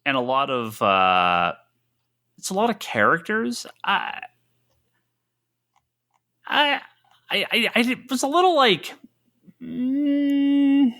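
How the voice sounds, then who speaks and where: A man co-hosting a casual comics podcast, talking haltingly, repeating words and acting out his own reactions. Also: very high-pitched, sing-song, sharp tone. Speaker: podcast co-host.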